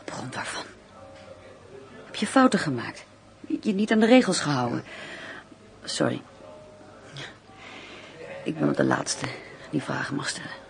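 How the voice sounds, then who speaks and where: A woman's voice: low voice, very animated, a little slow, creaky, long pauses, faint music behind, heavily acted. A woman acting in a radio play as a loyal, troubled confidante, speaking in an earnest, hesitant way.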